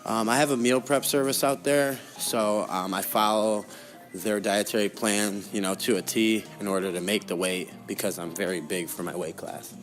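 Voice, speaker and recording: A man's voice: on the low side, fairly even intonation, moderate pace, soft background music. A young adult man answering interview questions in a casual, conversational way as a guest. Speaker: interview guest, professional fighter.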